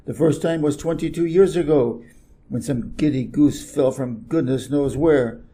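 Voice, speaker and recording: A man's voice: medium pitch, moderate pace, warm tone, a little hiss or hum, close to an everyday voice. A man voicing a busy, self-important, irritable middle-aged businessman from a storybook, brusque and emphatic. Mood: pompous, irritated. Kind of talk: storybook character reading.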